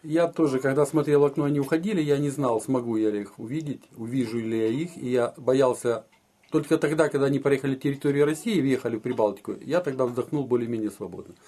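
A man speaks rapidly in a mellow, husky voice. He is recounting his own experiences in an informal, conversational interview style. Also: mid-range voice. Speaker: interviewee recounting family's escape. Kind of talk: informal personal storytelling.

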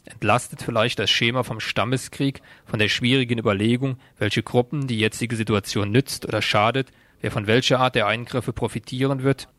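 A man's voice: medium pitch, steady tone, a little fast, fairly bright tone, dry recording. A man presenting a radio news magazine, reading prepared text and informal announcements.

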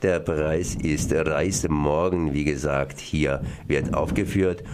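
A man hosting a radio interview, relaxed and joking. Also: rumbling low, takes it slowly, noisy background. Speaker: radio host.

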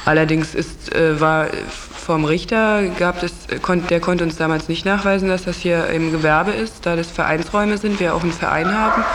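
A man being interviewed, explaining in long, winding, unscripted sentences. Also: on the high side. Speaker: interviewee, house project resident. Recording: clearly noisy radio interview recording.

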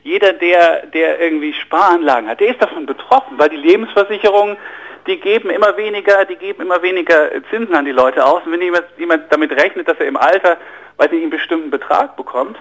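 A man with a fairly high-pitched voice speaks as a radio interview guest, walking through money matters earnestly. He thinks aloud, stumbling over and repeating words before landing on his point. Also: a bit bright, poor audio. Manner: earnest, explanatory, often restarts and repeats words mid-sentence. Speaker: interview guest, finance journalist and author. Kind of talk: radio interview, explaining economics.

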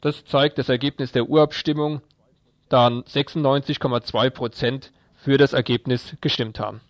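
A man's voice: medium pitch, steady tone, normal speed, no echo. A man reading scripted news reports as a radio reporter.

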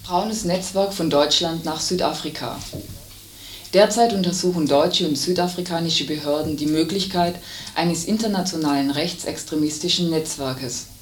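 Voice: medium pitch, moderate pace. A radio news reader delivering a scripted report. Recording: roomy, distant mic, noisy, clicks.

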